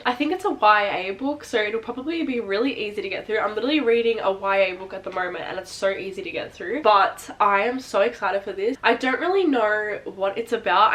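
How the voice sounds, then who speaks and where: A woman's voice: mid-range voice, a bit bright. Chatty young woman with a stuffy cold. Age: young adult. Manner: chatty, rambling, many "like" and "literally" fillers. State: nasal from a cold. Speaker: book vlogger. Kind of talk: casual chat to camera.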